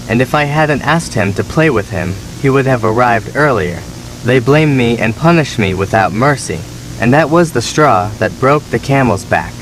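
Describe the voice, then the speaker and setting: A man playing a neglected, resentful younger son, telling his story as measured, plaintive scripted horror narration. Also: mid-range voice, noisy background, close to an everyday voice.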